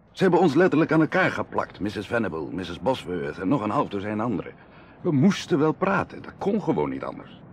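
A hard-up aristocratic bachelor in a radio play, lovesick and proud. His low male voice swings widely and dramatically between hushed confiding and passionate outbursts. There is clear noise on the old recording. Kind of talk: Dutch radio drama acting.

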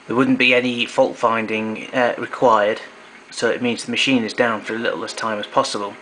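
Male hobbyist demonstrator, crisp forward tone, plain technical explanations, mid-range pitch, some background noise on an amateur camera recording. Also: flat delivery, brisk, bright, forceful tone, poor audio. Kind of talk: explanatory equipment walkthrough. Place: indoor room at home.

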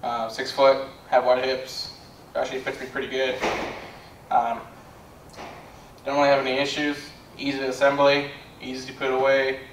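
A man's voice: mid-range voice, completely flat delivery, moderate pace, pauses often. Man demonstrating from across an echoey room. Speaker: product demonstrator. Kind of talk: casual product assembly demonstration. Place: bare indoor room with carpet. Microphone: far camera. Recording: roomy echo, distant mic, background noise.

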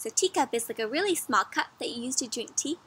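A young woman with a high, bright voice hosts a read-aloud for kids. She speaks expressively, pitching everything to small children and inviting them in with questions. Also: expressive, fast, husky, dry recording. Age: young adult. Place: outdoors in a garden or patio.

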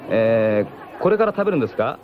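Male radio host, jocular interviewer, fast-talking, crowd noise behind. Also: medium pitch, sing-song. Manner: jocular, teasing. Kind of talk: live radio phone interview. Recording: dull lo-fi off-air radio tape, crowd behind.

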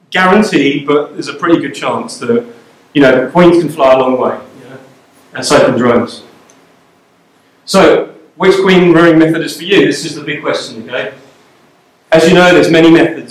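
A man giving a live beekeeping talk to a club, conversational and checking in with the audience, recorded by a camera at the back of a darkened lecture room. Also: a little high. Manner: conversational, checks in with "okay?" and "you know?". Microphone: far camera at the back of the room. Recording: very echoey room, camera far off.

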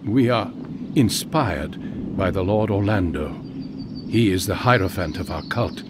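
Captured demon cultist soldier for a game, deep voice, bit of background noise. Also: male voice, animated, unhurried. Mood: submissive. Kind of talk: fantasy game dialogue.

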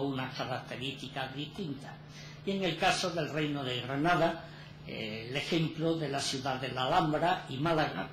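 A man giving an academic lecture at a conference, measured and methodical, with frequent fillers and self-corrections. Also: medium pitch, fairly bright tone. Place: conference hall. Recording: echoey hall with faint background noise.

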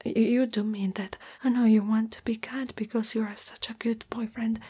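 A woman voicing a shy, lovestruck young spider monster girlfriend in an ASMR roleplay, hesitant, tender and intimate in a breathy whisper. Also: on the high side, flat delivery, moderate pace, dark tone. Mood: hesitant, self-conscious. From Italy.

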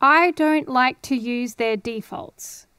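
Clear, higher-pitched woman. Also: takes it slowly, bright, forceful tone, no room sound, studio quality. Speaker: audio producer giving a tutorial. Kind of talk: casual screen-share tutorial. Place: indoor home studio. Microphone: headphones and close studio microphone.

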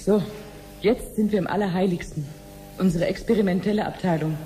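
An actress playing an obliging clinic researcher in a 1970s radio play, explaining things patiently and conversationally. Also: female voice, medium pitch, a little fast, warm tone, soft background music, natural voice. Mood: matter-of-fact, explaining. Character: chatty, obliging female clinic researcher showing her sister around.

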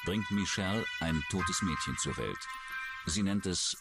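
Man, deep voice, scripted true-crime narration, loud music underneath. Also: expressive, a little slow. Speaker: documentary narrator. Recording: music behind the voice. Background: loud background music.

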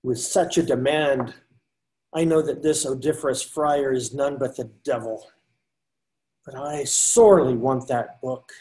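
A man's voice: medium pitch, unhurried, a little mellow, natural voice. An older man reading the part of a pious friar who loves books, earnest and pleading, in a video call reading. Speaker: reader in readers theater. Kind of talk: amateur readers theater over video call. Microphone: video call webcam.

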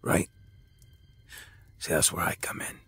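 An actor playing an older crime boss in an audio drama, patronizing and deliberate, with a smug, folksy menace. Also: male voice, a bit bright, close to an everyday voice. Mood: smug, persuasive.

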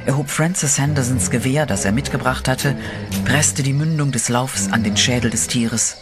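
A man narrating a German radio horror play in a steady, dramatic storytelling voice. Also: mid-range voice, moderate pace, loud music behind the voice.

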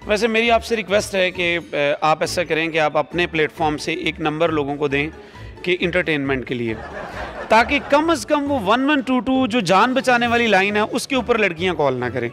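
High-voiced Pakistani rescue spokesman. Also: big pitch swings, a bit bright, faint music behind. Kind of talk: interview answers. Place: emergency call-centre control room. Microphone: reporter's handheld microphone held to him.

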